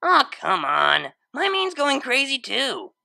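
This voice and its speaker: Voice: high-pitched, huge pitch swings, slow pace, vocal fry, bright, forceful tone, voice pushed up, exaggerated voice. A narrator voicing a laid-back, teasing young female unicorn DJ in a story, with casual, slangy phrasing. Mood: playful teasing.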